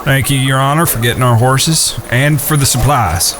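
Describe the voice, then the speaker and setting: An actor in an audio drama playing a simple, polite younger outlaw brother in a plain-spoken country manner. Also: male voice, medium pitch, normal speed, noisy background, close to an everyday voice. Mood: polite, easygoing.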